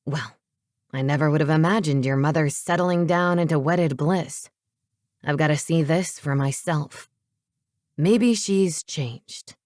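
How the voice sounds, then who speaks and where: A woman's voice: sits low, moderate pace, a little mellow, no echo, studio quality, voice pushed down, natural voice. A woman voicing a controlling teenage boy in an audiobook, curt and commanding with a sarcastic edge. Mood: light, mocking. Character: controlling, menacing teenage boy, coolly taunting.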